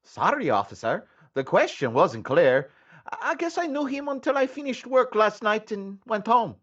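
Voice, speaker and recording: A man's voice: sits high, big pitch swings, moderate pace, a bit bright, breathy edge, lo-fi sound, heavily acted. An actor playing a cheeky, sarcastic male hairstylist questioned by detectives in a comedic radio drama. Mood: sassy. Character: sassy male hairstylist suspect.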